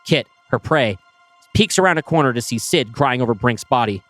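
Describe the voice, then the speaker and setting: A man reading his screenplay aloud, with brisk scene-setting narration. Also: medium pitch, animated, a bit bright, dry recording, soft background music. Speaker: writer pitching a screenplay.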